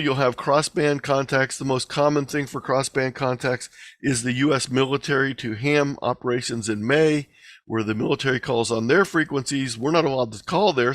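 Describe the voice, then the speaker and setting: A man's voice: medium pitch, fairly bright tone, no echo. A man giving an explanatory online lecture over a video call, plain-spoken and instructional, going step by step. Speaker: instructor, presenter. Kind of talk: explanatory slide lecture, lightly extemporized. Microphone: video call microphone.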